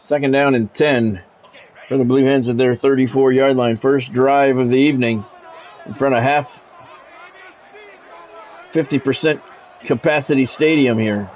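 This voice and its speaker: A man's voice: mid-range voice, steady tone, measured pace, long pauses, highs cut off, a faint crowd, slight background noise. A man streaming a football video game, mixing play-by-play commentary with casual, blunt, salty chat.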